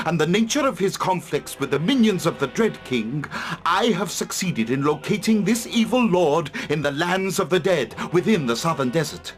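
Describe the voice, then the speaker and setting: A man playing a theatrical holy sage in a fantasy game, delivering portentous, emphatic counsel about dark evils. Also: sits high. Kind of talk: dramatic game cutscene acting.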